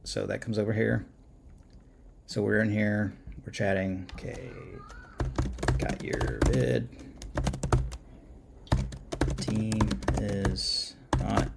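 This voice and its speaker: Low, creaky-voiced man giving tutorial. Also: very noisy. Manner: informal, halting, thinks aloud while clicking. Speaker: software tutorial presenter. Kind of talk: casual unscripted screen-share walkthrough. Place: indoors at a computer.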